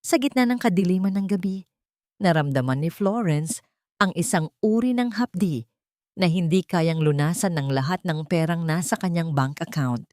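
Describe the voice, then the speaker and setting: A woman reading a scripted dramatic story in a measured, solemn way. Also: fairly low pitch, animated, a little mellow, dry recording, clean studio sound. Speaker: story narrator. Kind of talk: scripted dramatic audiobook-style narration.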